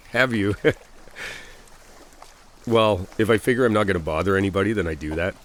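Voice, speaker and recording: A man's voice: deep voice, normal speed, natural voice. A man playing a friendly, bashful stranger in a boyfriend roleplay audio, talking casually and a little hesitantly. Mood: relaxed, friendly. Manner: hesitant, apologetic, chuckling, conversational. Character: friendly, bashful male stranger at a lakeside trailer park. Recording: outdoor water ambience noise.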